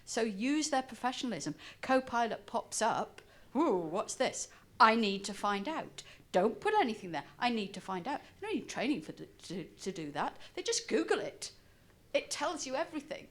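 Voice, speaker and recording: A woman's voice: on the high side, very animated, normal speed. A woman from Wales giving emphatic, argumentative opinions as an audience member in a conference discussion. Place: conference breakout room, speaking from the audience.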